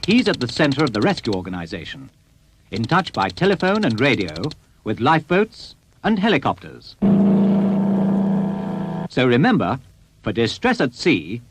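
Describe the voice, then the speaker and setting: British male narrator, mid pitch, highly animated intonation, measured instructional script, faint tape noise. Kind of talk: scripted public information narration. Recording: faintly noisy old VHS tape.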